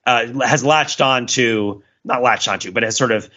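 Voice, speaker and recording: A man's voice: medium pitch, normal speed, sharp tone, lo-fi sound. A man co-hosting a podcast, explaining things in a casual, discursive way and often checking in as he goes. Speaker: podcast co-host.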